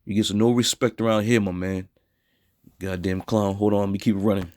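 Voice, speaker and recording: A hoarse man teaching scripture in a blunt, scornful way, with a frequent "all right?". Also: on the low side, fairly even intonation, a little fast, darkish tone, dry recording, clean studio sound. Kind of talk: street preaching scripture lesson.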